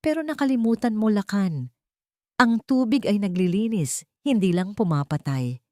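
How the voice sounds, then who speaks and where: A woman's voice: mid-range voice, expressive, normal speed, dark tone, no room sound, clean studio sound, pitched above the performer's own voice, close to an everyday voice. A woman voicing a bitter, defiant wronged wife out for revenge, hard and taunting, in a dramatic audio story. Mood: bitter, accusing.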